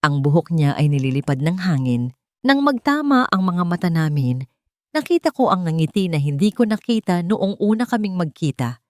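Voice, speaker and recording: A woman's voice: on the low side, very animated, normal speed, a little mellow, dry recording, studio quality. A woman narrating a scripted first-person romance story.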